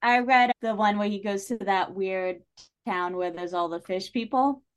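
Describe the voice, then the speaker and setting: An American woman in a casual book discussion. She speaks at a middle pitch, with an animated, emphatic delivery and a wry, sardonic edge. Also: normal speed, smooth, lo-fi sound. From New England, United States. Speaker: podcast guest.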